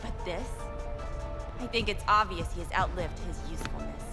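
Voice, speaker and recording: Ambitious club manager: cool, formal, lowish pitch, game cutscene with clear music underneath. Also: female voice, normal speed. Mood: cold, decisive. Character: coolly ambitious woman, ruthless new nightclub manager. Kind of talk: video game cutscene acting.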